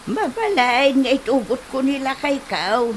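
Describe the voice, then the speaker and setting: Pushy village grandmother played by a woman, Ilocano accent, high and swooping voice, comedy drama. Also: sing-song, a little slow, a little hiss or hum. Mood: eager, cheerful.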